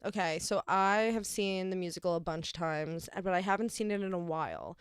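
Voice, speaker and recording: A woman's voice: fairly low pitch, expressive, a little fast, smooth, no echo, clean studio sound. A young woman hosting a podcast, talking casually and animatedly with lots of fillers. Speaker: podcast host. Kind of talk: casual chat.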